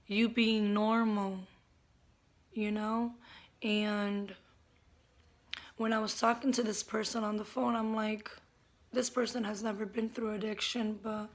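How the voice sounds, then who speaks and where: A woman with a hoarse voice talking at home in an earnest, open vlog about her own struggles, keeping her naturally loud voice toned down. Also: medium pitch. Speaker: vlogger. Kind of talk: candid personal vlog talk. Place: at home, indoors.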